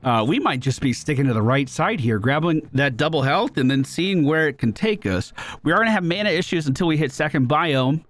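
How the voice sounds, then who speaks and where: A man's voice: a little high, expressive, brisk. A man giving casual video game commentary, playfully thinking aloud and repeating his phrases. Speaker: gaming video host.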